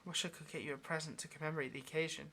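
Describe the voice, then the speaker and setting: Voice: mid-range voice, steady tone, racing, sharp tone, slight background noise. A performer playing a lonely, pleading, affectionate caged demon in an intimate ASMR roleplay, speaking in a hesitant, coaxing way. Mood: lonely, tender. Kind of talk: ASMR roleplay, intimate one-sided conversation.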